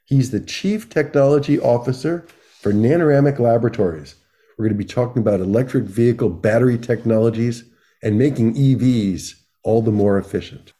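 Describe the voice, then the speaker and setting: A man's voice: mid-range voice, a little fast, dark tone. A man hosting a podcast, chatty and enthusiastic, often repeating words as he asks questions. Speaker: podcast host. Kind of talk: casual interview talk.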